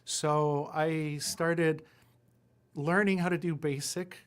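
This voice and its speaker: A man's voice: on the high side, fairly even intonation, slow pace, clean tone. A man in his forties, raised in Puerto Rico with Spanish as his first language, telling his story in a casual interview with lots of fillers and self-deprecating asides. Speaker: guest, lawyer and entrepreneur.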